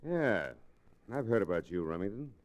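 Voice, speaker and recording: An actor playing a wary, smug merchant villain in an old-time radio Western, guarded and skeptical. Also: male voice, fairly low pitch, very animated, normal speed. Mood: suspicious, guarded. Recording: restored vintage radio recording.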